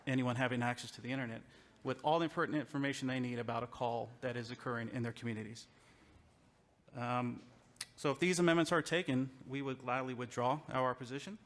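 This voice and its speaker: A man's voice: mid-range voice, fairly even intonation, fairly quick. A man speaking as a law enforcement representative, giving formal testimony to a committee and arguing his case point by point with emphasis. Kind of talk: formal public testimony at a legislative hearing.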